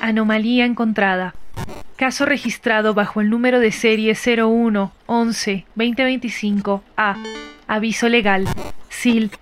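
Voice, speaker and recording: Woman doing a time-travel gadget voice, formal and flat, kinda high, faint music behind. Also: measured pace, lightly acted. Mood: announcing. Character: calm female time-travel system voice.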